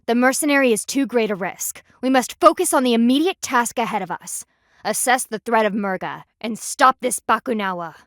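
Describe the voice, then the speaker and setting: A woman's voice: a little high, normal speed, no room sound, studio quality, close to an everyday voice. A woman voicing a stern, proud panda warrior in a video game, with a formal, commanding, clipped manner. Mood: stern, businesslike. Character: stern, proud anthropomorphic panda woman, loyal military guardian.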